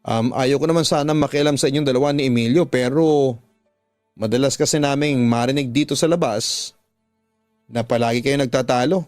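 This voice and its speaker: A man's voice: medium pitch, normal speed, darkish tone, no room sound, clean studio sound, soft background music, lightly acted. A man voicing an older gossipy neighbor woman, motherly, concerned and coaxing as she gives advice in a radio drama. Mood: hesitant, concerned. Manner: coaxing, advising.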